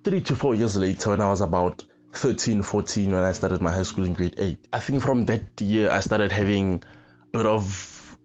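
A man's voice: lowish voice, moderate pace, a little mellow. Young South African man, dull lo-fi sound. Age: 20s. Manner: reflective, personal storytelling. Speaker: interviewee. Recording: dull lo-fi audio.

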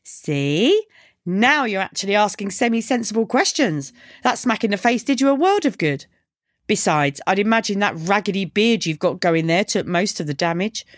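A brash young punk woman, acted by a female audiobook narrator, glib and mocking with a deadpan edge. Her pitch sits raised and moves freely as she taunts. The sound is dry and close. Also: normal speed, no echo, lightly acted. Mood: deadpan teasing. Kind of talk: audiobook character dialogue.

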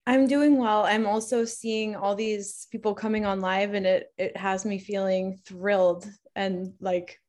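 A woman co-hosting a podcast in a casual chat, who speaks warmly and gushingly, laughs easily, and uses frequent fillers. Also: mid-range voice, little pitch movement, measured pace, hardly any pauses. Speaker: podcast co-host.

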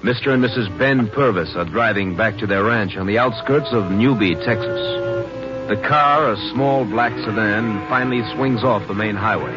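A man with a lowish voice narrates an old radio drama in a measured, matter-of-fact way. The recording is dull and lo-fi. Music plays prominently underneath.

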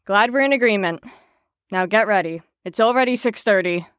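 A woman's voice: medium pitch, normal speed, fairly bright tone, dry recording, natural voice. A woman in an audio drama playing a firm carer on a patient ward, with a dry, exasperated manner. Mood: firm, stern.

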